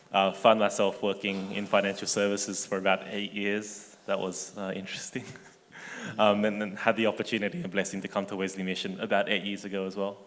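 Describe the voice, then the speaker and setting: A man in his thirties with an Australian accent, talking in a relaxed way with many uhs in a church interview. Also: sits low. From Sydney. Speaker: finance staff member. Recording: echoey hall with long reverb.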